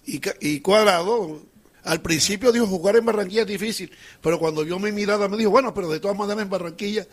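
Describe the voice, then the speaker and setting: A man's voice: sits high, quick pace. A man who is a sports journalist with a Colombian Caribbean accent, telling a rambling anecdote with many restarts.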